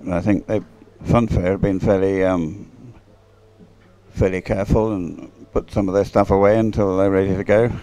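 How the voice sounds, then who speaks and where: A man's voice: sits low, little pitch movement, normal speed, warm tone, rough recording. A British man giving casual, unscripted live sports commentary in a hesitant, rambling, observational way. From United Kingdom.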